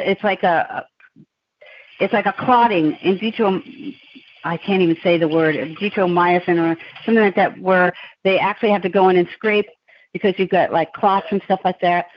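Woman guest, loud voice, excitable and rambling, dry muffled remote audio. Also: mid-range voice, no room sound. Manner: rambling, excitable storytelling with frequent restarts. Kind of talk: casual podcast conversation.

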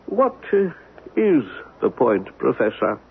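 A man's voice: medium pitch, huge pitch swings, slow pace, vocal fry, slightly rough, heavily acted. An actor in a 1970s radio drama playing an irritable, guarded man who is curt, skeptical and sardonic. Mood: grudging. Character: irritable, guarded, dismissive man hosting an unwanted visitor. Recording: thin narrowband old radio recording.

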